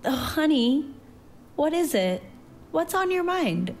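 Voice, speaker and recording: A woman voicing a loving, gentle mother who speaks tenderly and encouragingly in a narrated story. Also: high-pitched, big pitch swings, slow pace, faint music behind, pitched above the performer's own voice, heavily acted. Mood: caring. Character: gentle, loving, reassuring mother of a growing girl.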